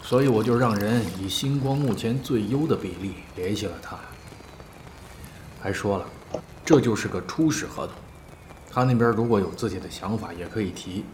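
A man's voice: on the low side, darkish tone, slight background noise, natural voice. Echoey, authoritative middle-aged boss played by a man. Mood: measured, disapproving. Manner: measured, authoritative, elder's casual grumbling. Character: wealthy older male business boss, proud, indulgent elder. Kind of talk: modern Chinese audio drama acting.

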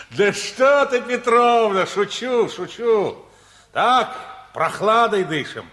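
A man's voice: high voice, big pitch swings, extremely slow, a bit bright, full character voice. An actor playing a wry, teasing, sceptical young man in a radio play.

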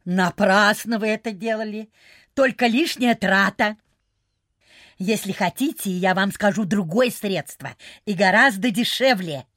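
Husky, unhurried, knowing lady neighbour. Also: mid-range voice, fairly bright tone, no room sound, studio quality. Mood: confiding, self-important. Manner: confidential, knowing, old-fashioned folksy speech. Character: smug, confiding lady neighbour peddling folk cures. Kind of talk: Soviet radio theatre acting.

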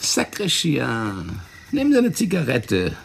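An actor playing a fat, sweating, smug intelligence official in a German radio drama, condescending, drawling and then abruptly speeding up. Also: male voice, medium pitch, wildly swooping pitch, slow pace, gravelly, slight background noise, heavily acted. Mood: placating.

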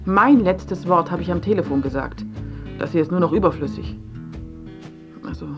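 Radio drama ex-girlfriend played by a woman: fed up, stern, sarcastic, lowish voice, guitar music behind. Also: moderate pace, muffled recording, lightly acted. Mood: cold, cutting. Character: exasperated, stern, cutting ex-girlfriend of the critic.

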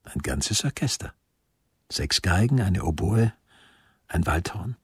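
An actor playing an earnest, self-assured eighteenth-century physician in a radio drama, speaking in a measured, formal way. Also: male voice, deep voice, expressive, husky, no room sound, exaggerated voice. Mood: proud. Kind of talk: German radio drama acting.

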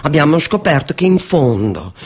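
An actor playing a hopeful, stubborn post-war communist in colloquial, earnest, coaxing radio theatre dialogue. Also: male voice, on the high side, very animated, normal speed, slightly rough, full character voice. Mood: earnest, insistent.

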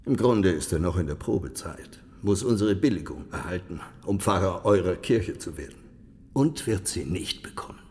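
A weary, haughty ruler in a historical radio drama. His low voice rings in a long reverberant hall, measured, commanding and full of condescension. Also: expressive, a little mellow. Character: haughty, weary, sardonic ruling prince.

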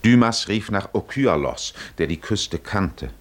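A man as the solemn, poetic narrator of a 1950s German radio drama, measured and dramatic. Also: lowish voice, big pitch swings. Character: solemn poetic storyteller of a sea voyage.

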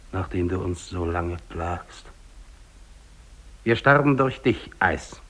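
A man's voice: deep voice, fairly bright tone, husky, full character voice, rough recording. A male actor playing a lone, dying English polar explorer in a 1950s German radio drama monologue, theatrical, defiant and despairing, moving between muttering and declaiming. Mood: exhausted, resigned.

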